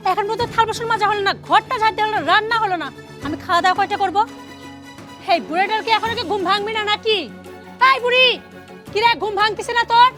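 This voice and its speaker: A woman's voice: very high voice, fast talker, music in the background. A woman acting as a cruel daughter-in-law who berates and mocks her elderly mother-in-law with harsh, repeated taunts. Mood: scolding. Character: cruel hungry daughter-in-law, scolding and spiteful. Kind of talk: melodramatic domestic drama acting.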